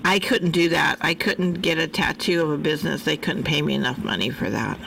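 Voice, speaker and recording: American woman, strong vocal fry, casual radio chat, faint background noise. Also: low-pitched, brisk, recorded from a distance. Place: radio studio.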